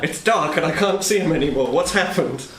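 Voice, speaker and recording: A man's voice: on the high side, brisk, fairly bright tone. A man chatting casually as a player in a tabletop role-playing game. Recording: roomy, echoey, slightly noisy.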